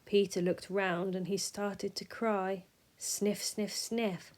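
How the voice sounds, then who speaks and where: A woman reading a rhyming children's story aloud in an expressive, measured way, sometimes dropping soft. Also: medium pitch. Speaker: storybook reader.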